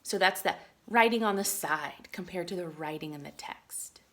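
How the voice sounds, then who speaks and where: A woman reading a picture book aloud to children as a teacher, expressively, often dropping into hushed asides. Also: on the low side, normal speed.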